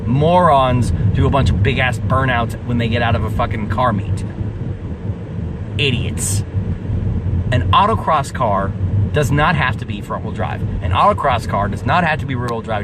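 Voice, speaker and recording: Profane young man ranting about cars outdoors. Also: medium pitch. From US Pacific Northwest. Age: 20s. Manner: profane, emphatic, repeats himself, laughs at own jokes. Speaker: car vlogger. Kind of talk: casual ranting vlog. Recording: outdoor recording, mic at a distance, traffic noise.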